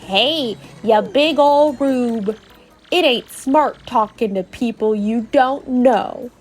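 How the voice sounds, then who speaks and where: A woman voicing a gruff, streetwise little mushroom creature in a video game read-aloud, slangy, blunt and wary. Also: on the high side, slow pace, faint music behind. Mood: warning, blunt.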